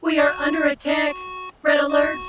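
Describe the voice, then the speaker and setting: A woman's voice: sits high, monotone, normal speed, recorded from a distance, close to an everyday voice. A woman voicing an impersonal starship computer giving alerts in a sci-fi audio drama. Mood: flat warning.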